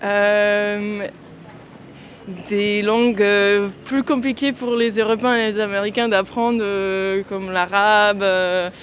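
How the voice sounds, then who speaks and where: Slow, high-voiced halting man. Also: flat delivery, fairly bright tone, slight background noise. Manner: halting, pauses to find words.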